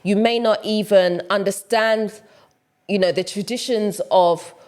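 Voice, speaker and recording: A woman's voice: mid-range voice, steady tone, unhurried, a little mellow. A woman with a British accent and some Ghanaian traces, from Ghana, chatting to camera in a casual vlog and repeating words for emphasis. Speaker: vlogger and matchmaker. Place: home in Ghana.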